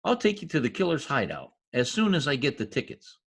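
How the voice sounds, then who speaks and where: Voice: mid-range voice, wildly swooping pitch, fairly quick, full character voice. A performer in a 1940s-style radio comedy-mystery voicing a shady, wisecracking informant with slangy, ungrammatical talk. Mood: businesslike, cagey. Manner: streetwise slangy talk with bad grammar. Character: shady, wisecracking lowlife gangland informant nicknamed Piggy. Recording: husky-sounding home recording over video call.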